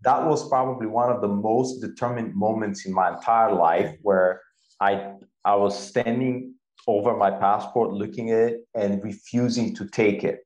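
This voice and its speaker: A middle-aged man from Bulgaria with a Bulgarian accent, telling a personal story deliberately and emphatically as an interview guest. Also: fairly low pitch, fairly even intonation, darkish tone, muffled recording. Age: 40s. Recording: echoey room.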